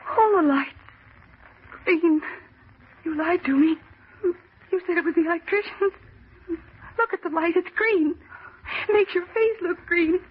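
An actress in an old-time radio thriller playing a terrified, helpless young woman near hysteria, pleading and repeating her phrases. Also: very high voice. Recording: dull, noisy old radio broadcast.

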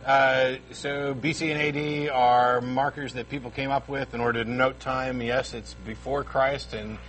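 A man's voice: medium pitch, little pitch movement, moderate pace, poor audio. A tired man co-hosting a call-in show, direct and wry when answering callers. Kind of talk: casual talk-show discussion. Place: studio.

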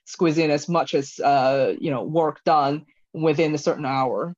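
A woman's voice: low voice, a little slow, a little mellow, highs cut off. A woman in her thirties from China, answering interview questions concisely with some hesitant fillers. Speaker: interview guest, tech leader.

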